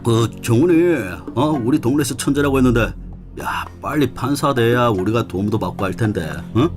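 A man's voice: mid-range voice, moderate pace, lightly acted. A man playing a brash, chatty young cop. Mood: boisterous. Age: young adult. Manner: loud, pushy, talkative. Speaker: plainclothes police. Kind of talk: radio drama acting. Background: background music.

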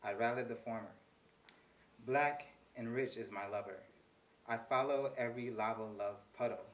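A man's voice: mid-range voice, moderate pace, a bit bright. A man reciting a poem in spoken-word style at a live reading. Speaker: poet reading own work. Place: small theater, live reading. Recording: distant mic, faint noise.

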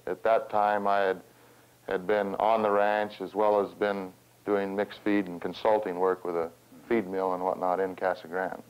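An American man in his thirties giving a formal interview in a measured, halting, roundabout way. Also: deep voice, level tone, clean tone. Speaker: farm organization representative and cattle rancher. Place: small wood-panelled room, seated at a table. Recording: dull 16mm film soundtrack.

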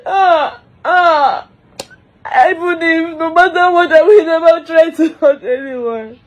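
A woman's voice: very high-pitched, slow pace. A distraught woman, crying and wailing through an emotional lament, repeating phrases over and over.

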